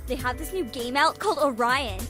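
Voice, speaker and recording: A woman plays a brash young gamer girl from a visual novel. She talks in a high voice with casual teasing, over clear music. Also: animated, a little fast, pushed and bright. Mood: eager.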